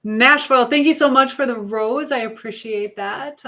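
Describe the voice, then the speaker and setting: A woman's voice: a little high, normal speed, sharp tone. A woman hosting a casual live stream, talking in a chatty, affectionate way with viewers and reading their comments aloud. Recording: clear background noise with clicking sounds.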